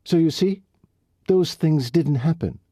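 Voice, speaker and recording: A man's voice: a little high, a little slow, dark tone, no room sound, clean studio sound, pitched above the performer's own voice. A man voicing a fiery, sarcastic young woman rodeo rider who puts on a lilting foreign accent. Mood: heated. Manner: sarcastic, heated.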